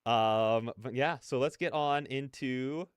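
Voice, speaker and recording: A man hosts a casual reaction livestream. His voice is mid-pitched, smooth and clean. He sits close to the mic in a very dry-sounding space. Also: slow pace, dry recording. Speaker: streamer, reaction host.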